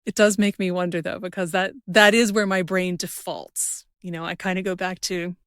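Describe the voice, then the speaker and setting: A woman's voice: lowish voice, level tone, normal speed, clean tone, no echo, studio quality. A woman hosting a podcast, musing aloud in a casual, conversational interview style. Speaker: podcast host.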